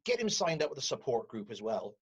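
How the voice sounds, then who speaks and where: An actor playing a measured, patient but firm counselling team leader in a comedic radio play, speaking formally. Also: mid-range voice, sing-song, husky, highs cut off. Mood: firm, reproving. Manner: formal, professional, admonishing. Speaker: team leader chairing a caseload meeting.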